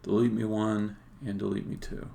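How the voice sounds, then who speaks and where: Male game developer, low creaky voice, casual think-aloud live-coding commentary, faint typing behind. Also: completely flat delivery, normal speed, warm tone. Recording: background keyboard typing and clicking noise. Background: computer keyboard typing, mouse clicks.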